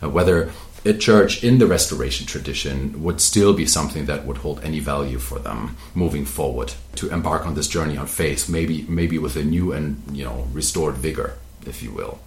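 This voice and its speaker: Very deep-voiced podcast host, roomy sound. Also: male voice, moderate pace, hardly any pauses. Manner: earnest, wordy, with many uh and um fillers. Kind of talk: interview podcast hosting.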